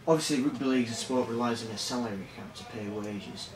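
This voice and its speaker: A man asking prepared interview questions in a measured way. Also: medium pitch. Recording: echoey room, far from mic, noisy.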